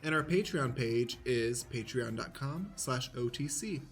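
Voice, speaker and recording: A man's voice: mid-range voice, animated, unhurried. Man narrating and hosting a radio play. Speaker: stage manager, narrator and host.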